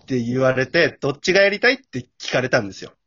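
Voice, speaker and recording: A man's voice: medium pitch, animated, fast talker, pushed and bright. A man chatting casually as a guest, giving his impressions, on a muffled lo-fi recording. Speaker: guest, amateur voice actor. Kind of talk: casual chat, giving impressions of a radio drama. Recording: dull, muffled lo-fi audio.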